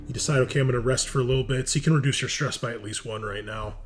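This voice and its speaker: A man's voice: medium pitch, fairly even intonation, very fast, soft background music. A man narrating a tabletop roleplaying game as game master in a casual, conversational way.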